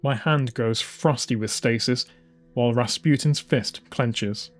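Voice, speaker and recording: A man's voice: mid-range voice, measured pace. A man reading scripted, dramatised narration as an audiobook narrator. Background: music.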